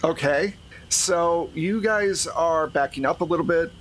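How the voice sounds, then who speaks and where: A man's voice: on the high side, measured pace, a bit bright, soft background music, low-quality recording. A man speaking as game master, narrating a tabletop game in a casual way.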